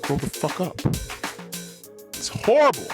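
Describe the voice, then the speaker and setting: A man's voice: fairly high pitch, huge pitch swings, unhurried. Emphatic man ranting over music. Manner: emphatic, repetitive, profane. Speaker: podcast host. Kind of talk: unscripted personal rant to listeners.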